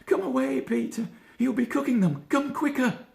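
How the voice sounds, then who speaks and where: A man's voice: very high voice, animated, normal speed, gravelly, a little mellow, reverberant, voice pushed up, full character voice. A man voicing a distraught, panicking father rabbit in a children's storybook, urgent and pleading. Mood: panicked urging.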